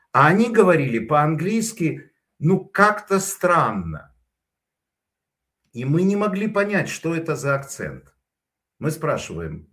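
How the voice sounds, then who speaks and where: A man's voice: medium pitch, a bit bright. A man giving casual spoken commentary, telling stories in a digressive way with frequent hesitations.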